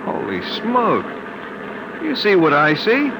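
An actor playing a folksy, excitable armored truck guard in a classic radio drama, talking in exclamations and slang. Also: male voice, sits high, takes it slowly, heavily acted. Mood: puzzled. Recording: noisy old radio recording.

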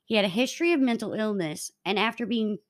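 Young woman podcast co-host, mid-pitch, narrating a true-crime case from notes, a few restarts. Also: quick pace.

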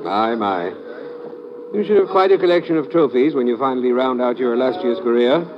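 An actor playing a dry, teasing police inspector in an old radio drama. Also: male voice, mid-range voice, fairly quick, close to an everyday voice. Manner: dry, teasing, clipped British phrasing. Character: dry, wry British police inspector, middle-aged man. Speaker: Scotland Yard inspector. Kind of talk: 1950s radio drama acting. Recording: dull old radio recording with background hiss.

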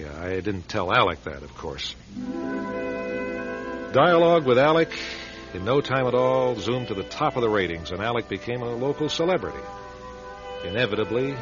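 An actor playing a plain-spoken, put-upon radio station manager in a radio drama, conversational, hesitant and confiding. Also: male voice, on the low side, dull audio, lightly acted. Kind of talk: radio drama acting and first-person narration.

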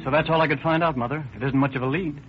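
An actor playing a skeptical, brusque young son-in-law in an old-time radio drama. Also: male voice, fairly high pitch, fairly even intonation, racing, over loud music, lightly acted. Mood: reluctant, brisk. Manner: brusque, exasperated. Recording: muffled, noisy old radio recording.

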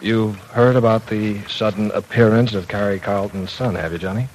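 An actor playing a courteous, bashful middle-aged bachelor professor in an old-time radio drama, slightly formal and prone to stammering. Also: male voice, on the low side, fairly even intonation, normal speed, a little mellow, lightly acted. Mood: flustered, dry. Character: polite, bashful bachelor university professor, mildly proper. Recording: noisy vintage radio broadcast recording.

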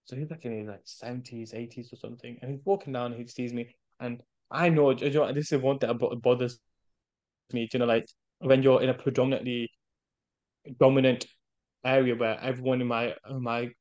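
A British man talks quickly in a mid-range voice. He is a chatty podcast guest who tells anecdotes and slips into quoted dialogue. The audio is slightly dull and lo-fi. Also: medium pitch. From United Kingdom. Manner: chatty, animated storytelling with self-quoted dialogue. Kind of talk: casual chat, anecdotes.

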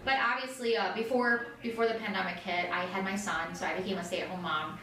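A woman's voice: medium pitch, steady tone, fairly quick, a bit bright. A woman from Colombia chatting casually as a guest in an interview about her small business. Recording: very echoey room, distant mic, faint noise.

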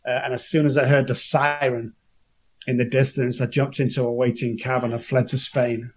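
A middle-aged man from Manchester speaks with a strong local accent at an ordinary pitch. He tells his story earnestly and emotionally, often stopping and restarting his sentences. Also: flat delivery, normal speed. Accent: Manchester English. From Manchester, England. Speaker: guest. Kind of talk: personal recovery story.

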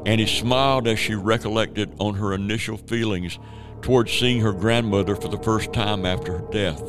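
A man narrating a radio drama in a measured, even storytelling manner. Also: on the low side, music in the background. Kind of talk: scripted narration.

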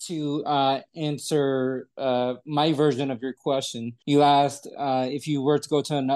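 A man's voice: medium pitch, flat delivery, unhurried, darkish tone. A man in his twenties telling his own story in a casual, rambling way with many restarts. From United States. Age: 20s. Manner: rambling, frequent restarts and fillers. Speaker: podcast guest.

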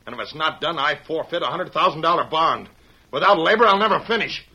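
An actor playing a domineering, hot-tempered middle-aged contractor who barks orders, in an old radio drama. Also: male voice, fairly high pitch, fast talker, bright, forceful tone, husky, exaggerated voice, low-quality recording. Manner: barking, bullying. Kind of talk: 1930s radio acting.

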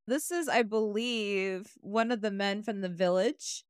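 A young woman hosting a casual podcast chat, swearing freely, excitable and quick to apologize. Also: mid-range voice, clean tone, no echo, studio quality. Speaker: podcast host presenting research.

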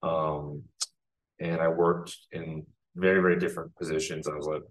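A man from the United States, a filmmaker guest in a casual interview, talking in a reflective way with many pauses. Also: very deep voice, flat delivery, a little hiss or hum, rough recording.

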